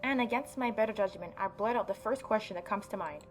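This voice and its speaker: A woman gaming YouTuber reading a story game aloud and adding expressive, mocking asides. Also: mid-range voice, quick pace, faint music behind. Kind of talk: let's-play reading aloud with reaction commentary.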